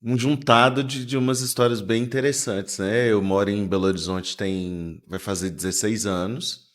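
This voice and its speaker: A man, speaking as a podcast guest. His voice sits low, and he tells his family history in a relaxed, joking way. He unfolds anecdotes with easy pauses. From born in Venezuela, raised in inland Minas Gerais, Brazil. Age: 40s. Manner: easygoing, humorous storyteller. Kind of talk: casual interview storytelling.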